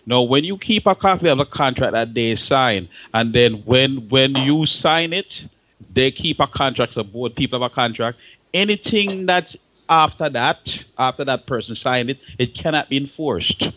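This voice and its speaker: A man's voice: medium pitch, lively intonation, normal speed, sharp tone, low-quality recording. A man with a Jamaican accent giving informal advice on a talk show panel, emphatic and often repeating his words and phrases.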